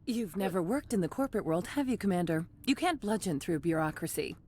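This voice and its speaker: An actress playing a polite, discreet corporate secretary in a video game, speaking formally. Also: female voice, on the low side, lively intonation, a little fast, darkish tone, slight background noise, close to an everyday voice. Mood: knowing, discreet. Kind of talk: video game cutscene acting.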